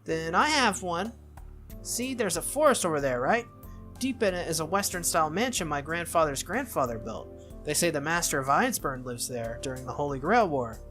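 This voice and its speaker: A man's voice: on the high side, lively intonation, moderate pace, fairly bright tone, soft background music, voice pushed up. A man voicing an innocent, cheerful little girl from a noble family, childlike and eager, in a visual novel read-through. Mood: proud, chatty.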